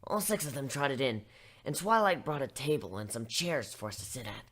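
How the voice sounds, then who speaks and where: A man's voice: mid-range voice, sing-song, normal speed, a bit bright. A man reading first-person story narration as an amateur dramatic reader.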